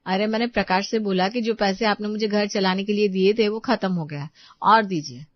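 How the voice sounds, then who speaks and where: A woman's voice: medium pitch, fairly quick, no echo, lo-fi sound, natural voice. A woman voicing an exasperated, thrifty young housewife who explains and protests in a family moral story. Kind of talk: Hindi moral-story character dialogue.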